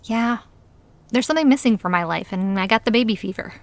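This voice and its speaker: A woman's voice: medium pitch, fairly quick, no echo, natural voice. An actress playing an independent, teasing woman with a casual lover in an audio drama, dry and frank.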